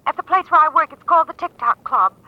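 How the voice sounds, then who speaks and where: An actress playing an earnest nightclub performer speaking through a telephone in an old radio drama. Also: female voice, high voice, expressive, quick pace, dry recording, phone-quality sound.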